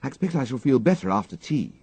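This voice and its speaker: A man voicing an excitable, flustered young upper-class English gentleman with an exclamatory manner and dated slang, in an audiobook. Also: a little high, fast talker, gravelly, slightly rough, dry recording, voice pushed up, heavily acted. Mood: bashful, uneasy.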